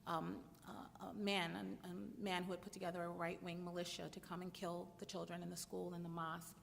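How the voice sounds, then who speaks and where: A woman lawyer giving measured, earnest remarks on a conference panel, with frequent fillers. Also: fairly low pitch, level tone, creaky. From United States. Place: conference hall. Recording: long reverb with faint noise in a hall.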